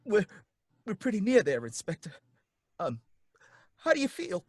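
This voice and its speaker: An actor playing a nervous, stammering cab driver who turns out to be a ghost, in an old-time radio drama. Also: male voice, sits high, huge pitch swings, normal speed, a little mellow, breathy edge, no room sound, studio quality, heavily acted. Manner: folksy, anxious, stammering.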